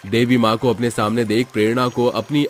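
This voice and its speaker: A man's voice: mid-range voice, level tone, fairly quick, slight background noise. A man narrating a scripted moral story as storyteller.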